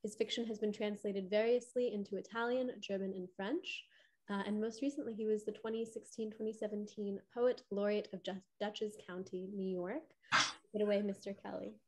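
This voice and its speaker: A young adult woman on a home video call, hosting and reading prepared opening remarks in a clear, steady way. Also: medium pitch, fairly quick, a little hiss or hum, low-quality recording. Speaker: host. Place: home room.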